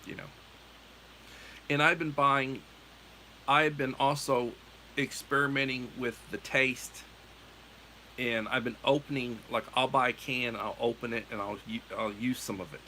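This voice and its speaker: A man's voice: mid-range voice, steady tone, a little slow, pauses often, sharp tone, a little hiss or hum, low-quality recording. A middle-aged American man hosting a livestream, chatting in a meandering, self-correcting way. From United States. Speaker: livestream host. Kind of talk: casual rambling chat.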